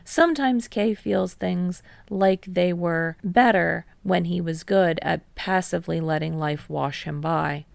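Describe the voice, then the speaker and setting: A woman's voice: on the low side, unhurried, gravelly, hardly any pauses, dry recording. A woman reading scripted fiction as an audiobook narrator.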